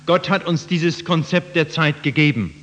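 A man's voice: fairly high pitch, normal speed, fairly bright tone, slight background noise. A man interpreting a sermon phrase by phrase for the listeners. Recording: muffled, reverberant hall.

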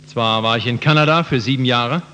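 A man's voice: mid-range voice, a little fast, pushed and bright, a little hiss or hum. A man interpreting a sermon phrase by phrase for the listeners. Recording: muffled, reverberant hall.

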